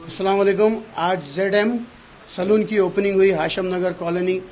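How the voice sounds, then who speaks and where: A man's voice: sits high, fairly even intonation, moderate pace, a little mellow. A middle-aged man, a local party vice president, gives a formal statement into a handheld mic in a small crowded salon. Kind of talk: on-camera statement at a shop opening. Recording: light background noise.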